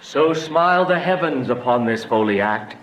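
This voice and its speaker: An actor playing a grave, kindly friar, speaking in a measured, solemn Shakespearean manner. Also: male voice, mid-range voice, lively intonation, muffled recording, soft background music, natural voice. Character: grave, kindly, cautioning friar, male confessor. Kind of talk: Shakespearean stage-style drama.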